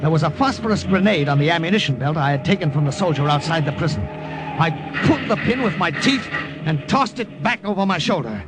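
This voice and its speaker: A man playing an excitable young American army sergeant and spy in an urgent, dramatic old radio drama style. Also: on the high side, brisk. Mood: tense, vivid. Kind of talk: 1940s-50s radio drama acting and narration. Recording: noisy old-time radio recording.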